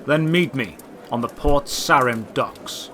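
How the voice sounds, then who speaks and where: A man's voice: medium pitch, slow pace, a bit bright, a little hiss or hum. A man playing a mysterious, commanding stranger who strikes bargains in a fantasy audio drama. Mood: cryptic, commanding.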